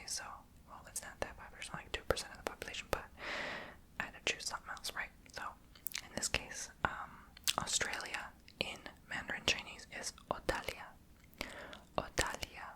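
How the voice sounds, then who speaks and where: A woman whispering in ASMR style into a close mic. She repeats each foreign word softly, over and over. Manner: soft whisper, slow repetitions. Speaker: ASMR video host.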